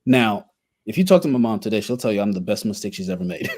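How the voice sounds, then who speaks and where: A man's voice: lowish voice, very animated, quick pace, darkish tone. Candid Haitian-born man telling his story. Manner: candid and reflective, with wry laughs. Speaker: podcast guest, coach. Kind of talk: personal storytelling in conversation.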